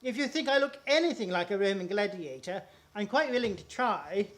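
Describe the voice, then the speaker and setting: A man plays a meek, henpecked older father in a radio comedy. His voice is high for a man, hesitant and full of mild complaint. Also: lively intonation, a little fast, fairly bright tone. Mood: doleful, reluctant. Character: meek, put-upon elderly henpecked father. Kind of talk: radio play acting.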